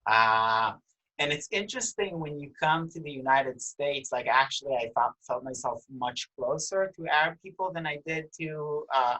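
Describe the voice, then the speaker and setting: A man's voice: on the high side, moderate pace, pushed and bright, rough recording. A man from Israel, hosting an interview in a chatty, affirming way and sharing anecdotes. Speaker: interview host. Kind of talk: conversational interview.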